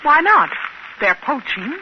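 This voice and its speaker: Through a dull, faintly hissy old radio recording comes a brisk, haughty American woman inspector. Her pitch swings widely as she issues curt commands in 1950s radio drama style. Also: sing-song, exaggerated voice. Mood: cold, impatient. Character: imperious, brisk young female company inspector.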